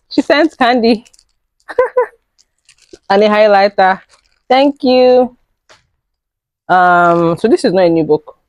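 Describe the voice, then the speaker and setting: A young woman with a raspy voice, chatting casually to camera about books she bought, rambling with fillers. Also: on the high side, big pitch swings, extremely slow, pauses often, no room sound. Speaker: book vlogger.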